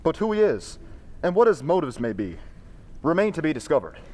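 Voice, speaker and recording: A man playing a courteous, composed amateur sleuth in an old-time radio drama, with formal, measured phrasing. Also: a little high, sing-song, a little mellow. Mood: composed, brisk.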